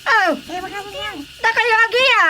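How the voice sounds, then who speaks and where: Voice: very high voice, takes it slowly, a loud crowd. An actor playing a bossy, insistent little character in a Filipino radio comedy drama.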